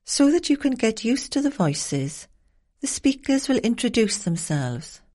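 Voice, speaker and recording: A woman reads the instructions for a language exam recording in a slow, formal manner. Her intonation is lively, and every word is clearly enunciated. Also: on the high side. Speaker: exam narrator.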